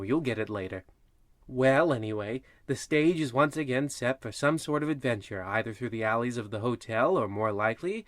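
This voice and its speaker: An actor playing the wry, rambling host of an old radio horror show, narrating in a chatty, mock-ominous way. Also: male voice, mid-range voice, a bit bright, no room sound, clean studio sound, lightly acted.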